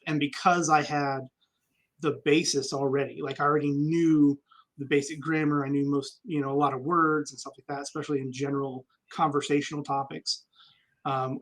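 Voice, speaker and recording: A man's voice: medium pitch, level tone, brisk, fairly bright tone, low-quality recording. A man, an army veteran guest, telling stories candidly and reflectively in a casual interview, with frequent ums.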